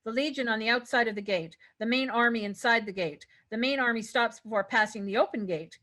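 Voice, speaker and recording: A woman's voice: on the high side, brisk, bright, forceful tone. A woman reading stage directions as scripted narration at a table read.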